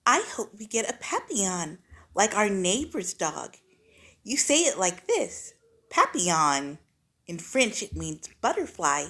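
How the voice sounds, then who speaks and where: A woman voicing an excitable young girl who loves fancy words, narrating a storybook in a dramatic, precise manner. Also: mid-range voice, measured pace, full character voice. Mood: excited, proud. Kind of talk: storybook read-aloud, first-person child narrator.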